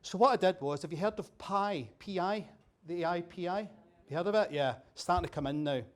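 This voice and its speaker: High-voiced Scottish man joking with a crowd. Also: brisk. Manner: chatty, jokey, quizzing the audience. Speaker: keynote speaker. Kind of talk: humorous after-dinner talk. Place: dinner venue with a bar.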